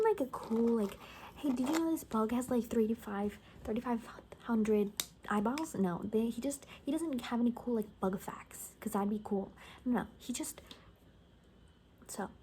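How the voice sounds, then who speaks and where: A woman speaking softly in an ASMR roleplay as a gossipy survivor, rambling casually and wryly and often dropping into whispers. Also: a little high, slight background noise. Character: gossipy female bunker survivor in a zombie apocalypse. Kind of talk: soft-spoken ASMR, improvised gossipy storytelling.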